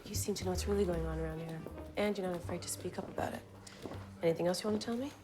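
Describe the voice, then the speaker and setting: An actress playing a confident, inquisitive private detective with a direct manner in a period drama. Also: female voice, fairly low pitch, fairly even intonation, racing, over music, close to an everyday voice. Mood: probing. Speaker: actor.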